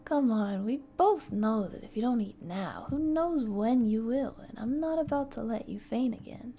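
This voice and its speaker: A woman's voice: fairly high pitch, lively intonation, moderate pace, mellow, muffled recording. A woman voicing a teasing, caring male charmer in his late twenties for a visual novel, in a playful, coaxing way. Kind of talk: visual novel character reading.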